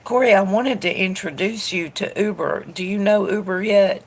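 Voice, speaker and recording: Woman, stuffy nose, gravelly, mid pitch, rambling casual stream host. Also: moderate pace. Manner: chatty, rambling, greets viewers by name. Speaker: tarot reader live-streaming to chat. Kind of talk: casual live-stream chat reading comments aloud.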